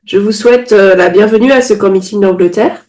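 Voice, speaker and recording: A woman interpreting a sermon phrase by phrase into French. She restates promptly after each pause and now and then corrects her wording mid-line. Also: mid-range voice, a little mellow. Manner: quick restating, occasional self-corrections.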